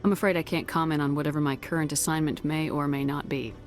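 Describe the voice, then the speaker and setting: Composed low-voiced female commander by an actress, music behind. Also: steady tone, close to an everyday voice. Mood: diplomatic. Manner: measured, assured, diplomatic. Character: composed, diplomatic female military commander in space.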